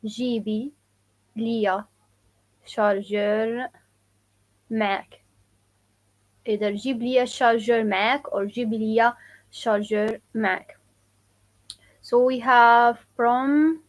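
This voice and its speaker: A young woman from Morocco with a Moroccan accent, teaching her dialect on a live stream and slowly repeating phrases for learners. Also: a little high, fairly even intonation, low-quality recording. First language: Moroccan Arabic. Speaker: language teacher. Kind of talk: live-stream language lesson.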